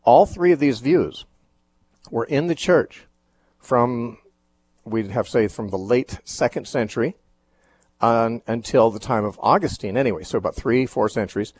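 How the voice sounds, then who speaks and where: A man hosts a radio call-in program, explaining scripture at an unhurried pace. His voice is somewhat husky, and he often pauses with fillers and restarts his sentences. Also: mid-range voice, lively intonation, darkish tone. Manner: thoughtful, measured, with frequent fillers and restarts. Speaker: radio call-in show host. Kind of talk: Bible teaching on a call-in show.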